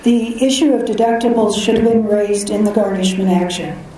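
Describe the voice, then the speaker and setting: A soft-voiced female attorney presenting a measured, methodical oral argument before an appeals court. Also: mid-range voice. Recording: distant, very echoey courtroom audio, faint noise.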